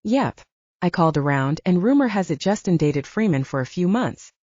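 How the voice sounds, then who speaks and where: A woman's voice: lowish voice, animated, moderate pace, darkish tone. A woman voicing a man who reports and warns as a matter-of-fact investigator ally in an audiobook. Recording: roomy, dull lo-fi audio.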